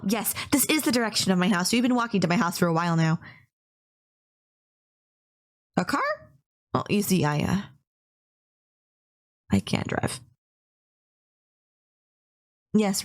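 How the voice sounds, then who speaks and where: A woman's voice: medium pitch, normal speed, pauses often, close to an everyday voice. Woman acting a sweet, polite, lovestruck yandere girlfriend. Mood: sheepish. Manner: polite, bashful, earnest, rambling with small stammers. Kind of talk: first-person romantic audio roleplay, speaking to listener.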